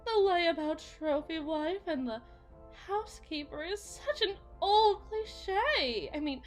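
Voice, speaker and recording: A woman playing an anxious, lovesick suburban wife and mother in an ASMR roleplay, speaking in a halting, emotional way. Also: extremely high pitch, lively intonation, soft background music, full character voice. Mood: coy, teasing. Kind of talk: ASMR roleplay, dramatic monologue.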